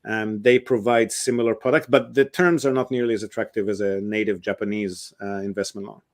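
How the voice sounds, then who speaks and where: A man's voice: lowish voice, steady tone, fairly quick. A man, a real estate investment advisor, answers interview questions at length, explaining step by step with frequent ums and uhs.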